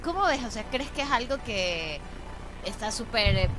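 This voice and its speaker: A woman's voice: a little high, animated, normal speed, background noise. A woman in her forties with an Ecuadorian accent, co-hosting a casual radio chat show. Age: 40s. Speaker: radio co-host. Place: small indoor radio studio. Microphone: studio microphone. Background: music.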